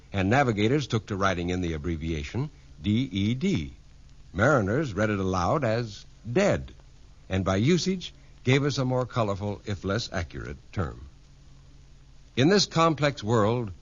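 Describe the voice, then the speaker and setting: Animated male announcer on dull vintage radio. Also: lowish voice. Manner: measured, explanatory. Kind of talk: scripted radio narration.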